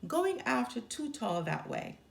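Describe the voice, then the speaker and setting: A woman's voice: a little high, very animated, measured pace, creaky, reverberant, heavily acted. A woman voicing a brave, fair-minded young boy bear cub in a children's storybook, earnest and reassuring.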